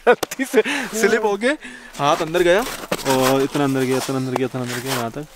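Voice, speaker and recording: A young man vlogging casually outdoors on a snowy mountain road, chatty and excitable, talking to a camera held at arm's length. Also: medium pitch, a little fast. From Pakistan. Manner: chatty, excitable, laughs often. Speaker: travel vlogger. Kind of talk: casual travel vlog. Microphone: handheld camera at arm's length. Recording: outdoor noise, wind and vehicles.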